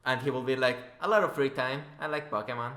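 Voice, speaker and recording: Male interviewer, bright tone, teasing casual chat, echoey room sound. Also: medium pitch, fairly even intonation, fast talker. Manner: teasing, sarcastic. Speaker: podcast interviewer. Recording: roomy, echoey voice recording.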